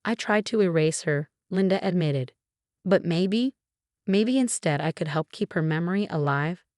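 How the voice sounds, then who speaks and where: Low-voiced woman narrating a story, dry recording. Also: expressive, normal speed, a little mellow, dry recording. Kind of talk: scripted first-person story narration.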